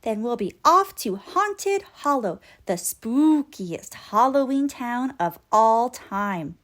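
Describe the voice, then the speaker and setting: A woman's voice: on the high side, sing-song, slow. A woman voicing a cheerful, reassuring male cartoon mouse from a children's storybook.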